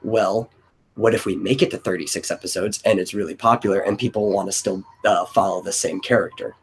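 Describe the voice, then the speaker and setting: A man's voice: sits low, fairly even intonation, fairly quick, husky. A man in a casual brainstorming chat, explaining things and thinking aloud as a stream host.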